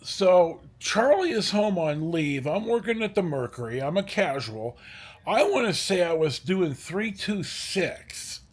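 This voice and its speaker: An older man with an American accent telling personal stories in a casual, rambling way, halting and digressing and acting out bits of dialogue. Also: sits high, very animated, slightly rough.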